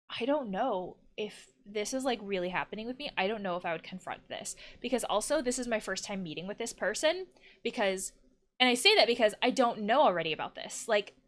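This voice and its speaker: A woman's voice: fairly high pitch, fairly bright tone. A woman playing a video game as a counselor, reading lines aloud and giving analytical, bluntly exasperated commentary in a casual way. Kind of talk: let's-play commentary, reading dialogue aloud and reacting.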